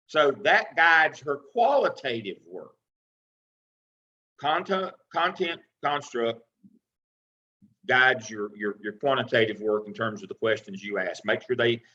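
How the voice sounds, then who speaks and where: A man's voice: mid-range voice, pushed and bright, lo-fi sound. A man teaching as a professor, giving feedback to a student in an online class in a folksy way, often restarting and repeating words. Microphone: video call.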